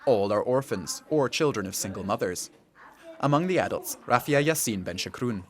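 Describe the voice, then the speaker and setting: A man narrating a radio documentary feature as the reporter, in a calm, measured scripted delivery. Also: mid-range voice.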